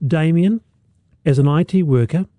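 Mellow-voiced male radio host. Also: on the high side, normal speed, dry recording. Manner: upbeat, reads out listener messages. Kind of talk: casual radio chat.

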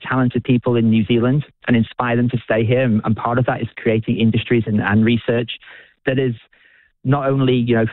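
A British physicist being interviewed on the radio, speaking with enthusiasm, halting often and restarting his sentences. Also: medium pitch, dry recording. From United Kingdom. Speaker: physicist and researcher. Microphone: phone. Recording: dry tinny phone line.

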